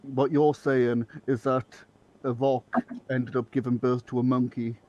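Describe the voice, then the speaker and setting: A man with a Northeast English accent, from Northeast England, chats loosely on a podcast at an ordinary middle pitch. His sentences ramble and restart, and he is blunt and happy to swear. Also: little pitch movement, measured pace, a little mellow, dull audio. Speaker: podcast guest. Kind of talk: casual podcast chat.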